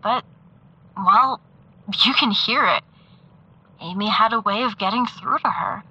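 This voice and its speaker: A woman acting in an audio drama as a reflective, wistful woman who speaks haltingly and thoughtfully. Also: mid-range voice, bright, forceful tone, slightly rough, muffled recording, full character voice. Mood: fond, reflective. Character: reflective, warmly wistful woman missing an old friend.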